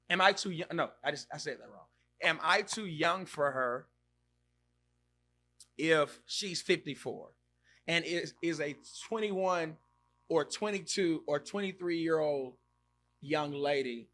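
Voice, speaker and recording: Bright, lively man in his thirties. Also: fairly high pitch. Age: 30s. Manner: animated, exclaims and reacts loudly, repeats himself. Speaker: podcast host. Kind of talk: casual live-stream chat reading comments.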